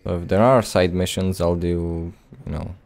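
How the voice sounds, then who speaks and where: A man's voice: sits low, steady tone, normal speed, creaky, darkish tone. A man doing casual gameplay commentary with a hesitant, rambling, playful delivery. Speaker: let's play host.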